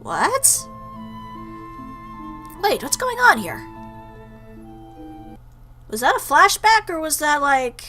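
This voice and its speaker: A woman's voice: sits high, sing-song, slow, pauses often, sharp tone, soft background music. A woman doing let's play commentary, reading game text aloud and reacting with asides. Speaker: gaming video host.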